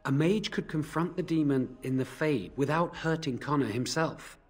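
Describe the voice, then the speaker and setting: An actor playing a guilt-ridden young mage in a video game, explaining things gravely and with reluctance. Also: male voice, fairly high pitch, normal speed, faint music behind. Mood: grave, reluctant. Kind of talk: dramatic video game acting.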